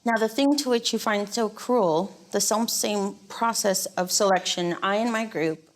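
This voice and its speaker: A woman acting as an earnest female drama student in a comedy. She recites at a middle pitch, deliberate and stagey, like a classroom monologue. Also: natural voice, poor audio. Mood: earnest, solemn.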